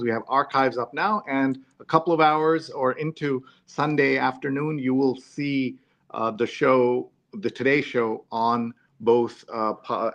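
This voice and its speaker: Dull lo-fi stream sound, male talk-show host, mid-pitched voice, casual live chat. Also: fairly bright tone.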